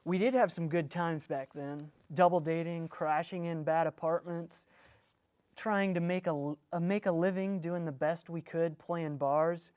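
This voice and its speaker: A man's voice: fairly high pitch, fairly even intonation, unhurried, darkish tone, smooth, natural voice. A man playing a resentful male rock drummer in a script read, dry and needling. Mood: nostalgic. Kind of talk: script table read acting.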